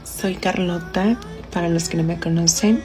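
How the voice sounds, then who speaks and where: A woman in her twenties speaking calmly to camera in a short clip. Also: lowish voice, moderate pace, over music. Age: 20s.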